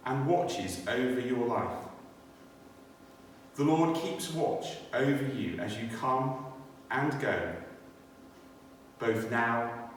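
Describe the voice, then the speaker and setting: British male church speaker, testimony and scripture, thoughtful halting delivery; long reverb, faint background noise. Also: medium pitch. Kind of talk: personal testimony and scripture reading. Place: inside a church. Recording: echoey church hall, distant, faint noise.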